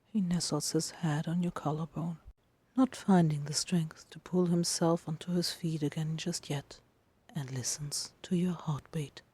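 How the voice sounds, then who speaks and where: A woman narrating a dramatic fiction reading in a hushed, intimate manner with deliberate phrasing. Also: sits low, steady tone, breathy edge. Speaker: podfic narrator.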